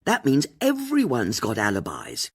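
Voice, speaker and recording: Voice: mid-range voice, wildly swooping pitch, a little slow, breathy edge, heavily acted. An audiobook narrator voicing a blunt, indignant woman amateur detective, forthright and a little boastful. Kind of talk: audiobook character dialogue.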